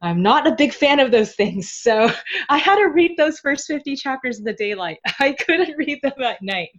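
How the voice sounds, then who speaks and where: A woman's voice: high-pitched, very animated, normal speed, lo-fi sound. A woman guest on a podcast, a translator talking casually about a novel she works on, often laughing and dotting her talk with fillers.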